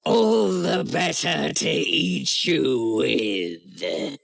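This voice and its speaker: A woman voicing a sly, hungry male storybook wolf with a deep growling voice and a coaxing, drawn-out, menacingly sweet manner. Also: wildly swooping pitch, gravelly, dry recording, studio quality, heavily acted.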